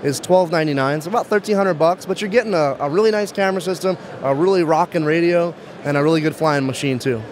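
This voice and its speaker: A man demonstrates a product in an interview. His voice sits a little high and he talks fast, as a company representative would on a show floor. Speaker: brand manager demonstrating a product. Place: busy trade show floor. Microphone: handheld interview microphone.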